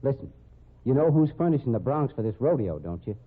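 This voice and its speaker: An actor playing a jealous, boastful cowboy husband with slangy, folksy talk in an old-time radio drama. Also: male voice, mid-range voice, big pitch swings, brisk, slightly rough. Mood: scheming, heated. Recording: tinny, band-limited old radio recording.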